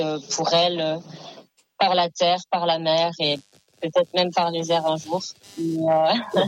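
A woman activist speaking earnestly in a studio radio interview, halting often with fillers. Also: fairly low pitch, no echo, muffled recording. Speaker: activist guest.

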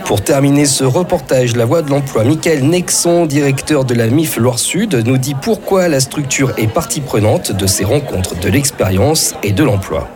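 Male radio reporter, measured questions, mid-pitched, music bed underneath. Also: expressive. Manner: measured questioning, occasional fillers.

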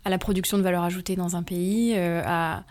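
A woman's voice: on the low side, fairly even intonation, a little fast, a little mellow. A woman in her twenties, from France, answering interview questions about her work with many hesitant fillers and careful explanations. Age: 20s. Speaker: interview guest, factory team manager.

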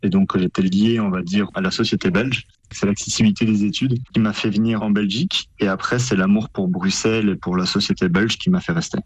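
A man's voice: fairly low pitch, brisk, slightly rough, no room sound. A young man from France answering interview questions about his move abroad, earnest and explanatory, often saying "en fait". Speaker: interviewee, editor of an online publication.

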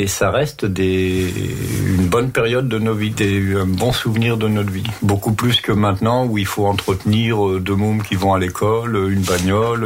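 A middle-aged man with a fairly low voice tells of his past in an easy, reflective tone, dropping in the odd joke. A clear hiss of noise sits under his voice. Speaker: herder and farmer. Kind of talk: casual conversation.

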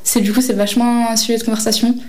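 A woman's voice: on the high side, flat delivery, fast, a little mellow, a little hiss or hum. A teenage girl speaking reflectively in an interview, hesitating often with fillers as she gathers her thoughts. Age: teens. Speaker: high school student interviewee. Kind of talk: reflective testimony.

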